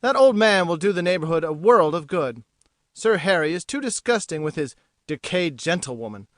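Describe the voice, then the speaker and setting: A woman reading an audiobook, voicing a smug, teasing young gentleman who talks in an arch, lofty way. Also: on the low side, animated, normal speed, no room sound, studio quality, voice pushed down, close to an everyday voice. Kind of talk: audiobook character voice.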